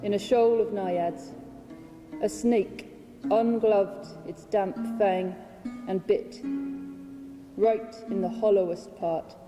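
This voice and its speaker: A woman reads poetry dramatically at a slow, deliberate pace, leaving weighted pauses. Her voice rings in a large hall, with plucked string music clearly audible behind her. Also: mid-range voice, fairly even intonation. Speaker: reader of own poetry translation. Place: large hall at a public reading.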